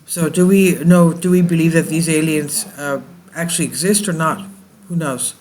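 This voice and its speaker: A man hosting a video, reading an old news report and adding commentary in measured, halting phrases. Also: a little high, steady tone, a little mellow. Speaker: video host.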